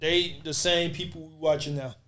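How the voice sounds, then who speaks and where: A man's voice: on the high side, steady tone, normal speed, a crowd in the background. A man hosting a casual podcast chat, persuasive and directly addressing the others as he makes his points.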